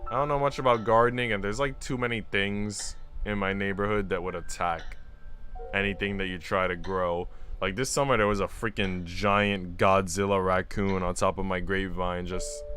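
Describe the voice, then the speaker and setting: Low-voiced man with music behind him. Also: steady tone, moderate pace, fairly bright tone. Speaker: visual novel streamer reading aloud. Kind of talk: story reading mixed with casual chatty asides.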